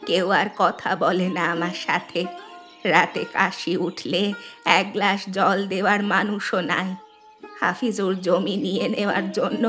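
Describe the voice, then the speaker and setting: A woman voicing an elderly village mother-in-law in a cartoon, weary and humbled, with a slow, plaintive, confessional way of talking. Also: mid-range voice, fairly bright tone, natural voice. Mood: sorrowful. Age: older. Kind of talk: Bengali moral cartoon dialogue.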